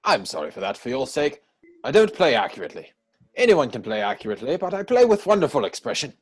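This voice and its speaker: A man acting a witty, idle young gentleman from a period comedy. His voice is slightly husky and swings widely in pitch, with arch, playful self-satisfaction in a theatrical reading. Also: huge pitch swings, fast. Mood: breezy, self-satisfied. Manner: mannered, arch, theatrical delivery.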